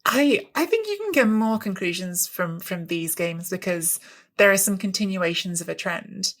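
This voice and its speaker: A woman's voice: on the low side, animated, moderate pace. A woman co-hosting a football podcast, talking through tactics in a thoughtful way and often restarting as she searches for words. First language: English. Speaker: podcast co-host. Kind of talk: casual football analysis chat.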